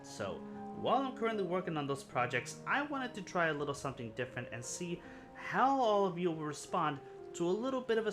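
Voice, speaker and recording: A young man from Puerto Rico hosting a film review channel, telling a personal story in an earnest, rambling way that often corrects itself. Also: mid-range voice. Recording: music playing underneath.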